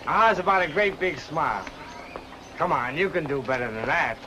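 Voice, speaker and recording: A cheery street photographer from an old 1950s film, played by a man. His voice is fairly high and swoops about as he coaxes customers. The soundtrack is noisy. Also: expressive, normal speed, lightly acted. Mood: cheery, coaxing. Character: chirpy, coaxing zoo photographer working his customers. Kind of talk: 1950s film acting, street vendor patter.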